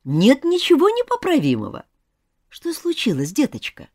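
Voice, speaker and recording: Woman doing a kind teacher, soft coaxing tone, lively melody. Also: sits high, moderate pace, no room sound, studio quality, voice pushed up, heavily acted. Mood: gently concerned. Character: kindly female schoolteacher, gentle and concerned.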